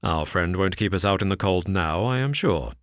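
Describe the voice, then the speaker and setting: A man's voice: sits low, animated, moderate pace, fairly bright tone, dry recording, studio quality. A man voicing a genial, confident detective in an easy, self-assured manner.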